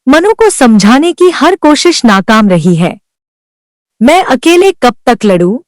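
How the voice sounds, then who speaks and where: A woman's voice: high voice, big pitch swings, slow, dry recording, studio quality, exaggerated voice. A woman voicing Sunita, a worn-down young village wife who pleads earnestly for help in a cartoon. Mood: pleading. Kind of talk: Hindi cartoon voice acting.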